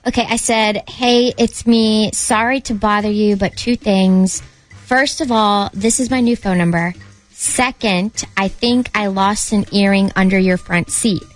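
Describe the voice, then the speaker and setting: A woman's voice: mid-range voice, fairly even intonation, unhurried, soft background music, poor audio. A woman co-hosting a radio show, reading out and relaying messages on air.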